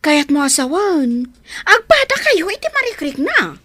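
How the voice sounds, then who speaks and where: Kindly busybody confidante woman acting, raised lively voice, Ilocano accent, expressive village radio drama. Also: high voice, measured pace, no room sound, heavily acted. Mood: coaxing.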